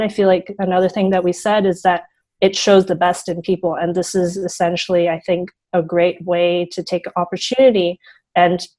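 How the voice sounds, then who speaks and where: A woman's voice: lowish voice, darkish tone. A teenage girl from Hong Kong answering interview questions earnestly, with frequent "you know" fillers. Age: teens. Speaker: youth fund founder, guest.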